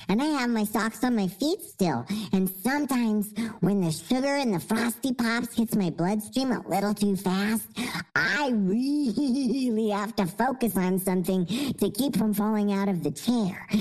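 A woman playing an excitable, sassy, sugar-crazed little girl who rambles, in a comedic audio sketch. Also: a little high, natural voice, poor audio. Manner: rambling, outbursts.

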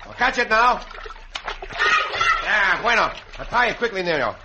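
An actor playing a brave, commanding yet kindly caballero hero with a Mexican accent in a radio western. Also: male voice, sits high, very animated, moderate pace. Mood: urgent, shouting orders. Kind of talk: old-time radio western acting. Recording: muffled, very noisy vintage radio recording.

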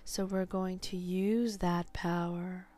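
A woman hosting a guided sleep hypnosis session, speaking softly and soothingly, often in a near whisper. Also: fairly low pitch, level tone. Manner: soft, slow, soothing, partly whispered. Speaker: hypnotherapist host.

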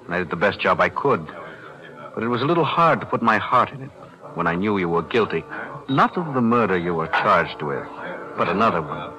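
An actor playing a calm defense attorney, measured and precise, in 1940s radio-drama style. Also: male voice, low-pitched, over music, low-quality recording. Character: calm, principled male lawyer.

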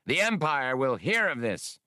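A man's voice: medium pitch, sing-song, a little slow, sharp tone, no room sound, voice pushed up. A man reading an audiobook, voicing a proud, menacing male alien security chief with a stiff, defiant manner. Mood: defiant threat.

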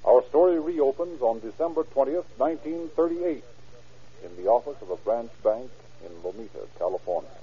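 A man's voice: medium pitch, animated, moderate pace, husky. A man narrating a vintage radio crime drama in a formal, scripted documentary style. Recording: muffled old radio broadcast, music behind. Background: music.

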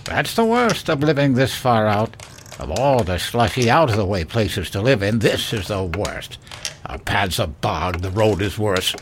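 A man's voice: fairly low pitch, sing-song, unhurried, noisy background. An actor playing a grumbling but hospitable older English father in an audio drama, speaking emphatically.

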